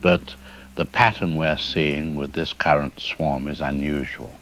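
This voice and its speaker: An actor playing a calm, middle-aged seismologist who explains things carefully and hedges his words, as a guest in a news interview drama. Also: rumbling low, measured pace, a little hiss or hum. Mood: measured. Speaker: expert guest.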